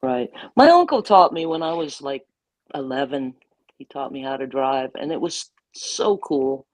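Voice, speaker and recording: A woman chatting as a guest, playful and quick to laugh, telling stories with animation. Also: rumbling low, expressive, a little mellow, dry recording. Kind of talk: casual chat.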